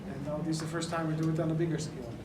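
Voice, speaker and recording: A man speaks at a press event and lays out his points earnestly, one step at a time. His voice sits a little high for a man. The sound is roomy and noisy, and the mic is some distance from him. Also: little pitch movement, very fast. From Netherlands. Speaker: event organizer. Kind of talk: press conference talk. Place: large indoor venue. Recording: echoey room, mic far off, noisy.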